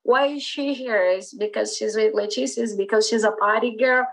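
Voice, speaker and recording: A woman's voice: fairly high pitch, normal speed, vocal fry, fairly bright tone, rough recording. A woman in her forties from Brazil with a Brazilian Portuguese accent, speaking English as a second language and chatting casually in an interview, full of "like" and "you know". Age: 40s. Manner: rambling, many fillers like "like" and "you know". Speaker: skateboarder and former magazine publisher.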